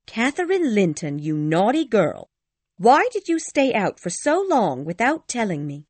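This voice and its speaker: A woman's voice: mid-range voice, wildly swooping pitch, slow, no room sound, full character voice. A woman playing a stern, worried servant who minds a young girl in an audiobook story, scolding in a serious, emphatic way. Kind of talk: dramatised audiobook dialogue.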